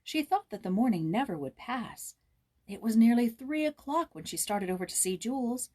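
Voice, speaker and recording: A woman's voice: on the high side, animated, moderate pace, a little mellow. A woman hosting a read-aloud, narrating a children's novel. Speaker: storybook reader and host.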